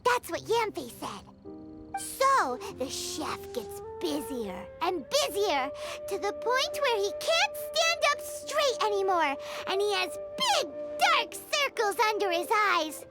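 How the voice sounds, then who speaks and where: A tiny, excitable fairy companion from a video game, squeaky and extremely high. The delivery swoops up and down in a bubbly, childlike way and takes its time, with loud music playing behind it. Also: female voice, takes it slowly, full character voice. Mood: storytelling, eager. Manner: refers to self in third person, bubbly, thinks aloud. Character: small, childlike, excitable female fairy companion, eager and chatty.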